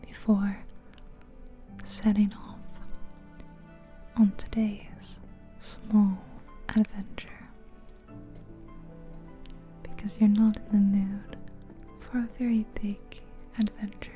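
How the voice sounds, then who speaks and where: A woman doing a soft-spoken ASMR guided visualization in a breathy whisper, soothing, with pauses between short phrases, close to the mic. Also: mid-range voice, little pitch movement. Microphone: close stereo ASMR microphone. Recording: close ear-to-ear mic, music behind.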